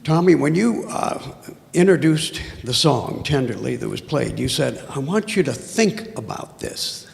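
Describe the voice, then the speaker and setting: A hoarse man from the audience speaking earnestly and with emphasis. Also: medium pitch, lively intonation, measured pace, a little hiss or hum. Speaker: audience member asking a question. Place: auditorium with audience. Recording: reverberant hall.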